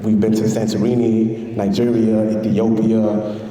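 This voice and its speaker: A man from Honduras, raised in the Bronx, introducing himself on a panel in a relaxed, proud way. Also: lowish voice, unhurried. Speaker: panel guest, fashion designer. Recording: distant, roomy hall.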